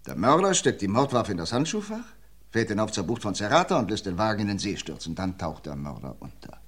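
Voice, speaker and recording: An actor playing a shrewd police inspector in a 1950s radio drama, questioning a suspect in a measured, pointed way. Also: male voice, fairly low pitch, big pitch swings, slightly rough, full character voice. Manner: measured, pointed interrogating delivery.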